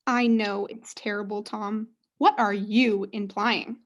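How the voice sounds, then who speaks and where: A woman's voice: mid-range voice, fairly even intonation, slow pace, natural voice. A woman playing a self-absorbed, haughty mother in a student comedy radio play, theatrical and overwrought. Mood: haughty, disdainful.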